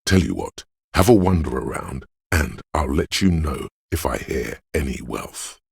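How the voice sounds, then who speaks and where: Gravelly, bottomless-voiced slick money hustler. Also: male voice, big pitch swings, unhurried, no echo, exaggerated voice. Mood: eager, scheming. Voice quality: very deep, gravelly, husky. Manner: smug, coaxing salesman-like persuasion. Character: slick money-hungry hustler inner voice, male, scheming and snobbish. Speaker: video game voice actor. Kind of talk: scripted game voice-over, inner-voice advice.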